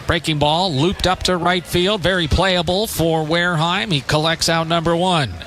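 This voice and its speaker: An American man doing live radio play-by-play of baseball in a measured, descriptive way, with short phrases and pauses. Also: fairly high pitch, steady tone, a bit bright, slight background noise. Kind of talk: live radio sports commentary.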